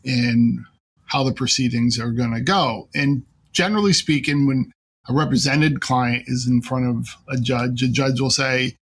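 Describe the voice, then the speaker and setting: A man's voice: medium pitch, steady tone, a little slow, a bit bright, breathy edge. A man hosting a panel talk, explaining things in an informal, conversational way. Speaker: podcast host and immigration lawyer.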